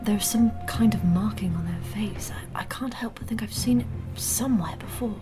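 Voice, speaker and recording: A woman's voice: mid-range voice, normal speed, over music, lightly acted. An actress playing a cautious, observant young woman spellcaster in a fantasy audio drama, speaking earnestly. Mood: hushed, uneasy.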